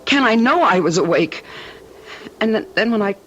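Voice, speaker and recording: A woman's voice: mid-range voice, expressive, moderate pace, a bit bright, close to an everyday voice. A woman acting a frightened, weary but stubborn wife in a vintage radio drama, with hesitant, stammering speech. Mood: insistent, anxious. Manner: hesitant, stammering, repeats words. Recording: dull, noisy old radio recording.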